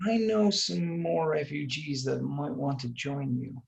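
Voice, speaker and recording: A man playing a wry, confident bounty cop with a gravelly, laconic, teasing delivery in a screenplay read. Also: on the high side, steady tone, unhurried. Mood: easygoing. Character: wry, confident male bounty cop, smooth flirtatious swagger. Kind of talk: screenplay table read, dramatic dialogue.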